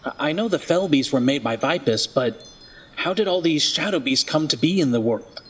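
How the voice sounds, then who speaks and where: Earnest, higher-voiced young student. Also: male voice, expressive, moderate pace, fairly bright tone, slightly rough, poor audio. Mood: curious. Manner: earnest, questioning. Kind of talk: fantasy audio drama dialogue.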